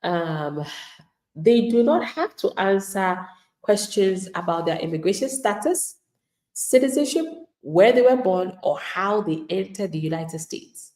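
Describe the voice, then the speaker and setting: A woman's voice: medium pitch, lively intonation, unhurried, darkish tone, echoey room. A woman immigration attorney giving live legal advice to viewers in an emphatic, plain-spoken way, acting out the replies of people she describes.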